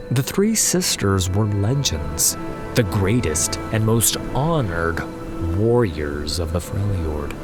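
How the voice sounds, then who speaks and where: A man narrating a scripted fantasy story as a dramatic audiobook narrator. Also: fairly low pitch. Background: music.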